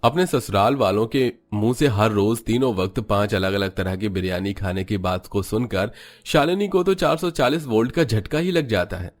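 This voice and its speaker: A man's voice: on the low side, moderate pace. A man narrating a Hindi cartoon story in a scripted storytelling style.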